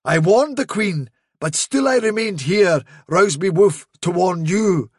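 A man's voice: high voice, animated, unhurried, breathy edge, no room sound, clean studio sound, exaggerated voice. A man doing a sly trickster rabbit. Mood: solemn, sly. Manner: sly, solemn, dramatic warnings. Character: cunning male trickster rabbit posing as a fairy.

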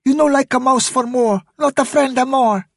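A tiny, anxious field mouse chatters in broken English, his voice pitched far above. He twitters eagerly, keen to please, with a slightly husky edge. The storybook recording is close and dry. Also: normal speed, dry recording, exaggerated voice. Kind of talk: audiobook character voice.

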